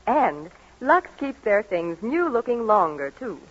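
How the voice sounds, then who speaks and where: Lively, husky woman in radio ad. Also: medium pitch, slow pace. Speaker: radio commercial presenter. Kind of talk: 1940s scripted radio commercial. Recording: muffled 1940s radio broadcast.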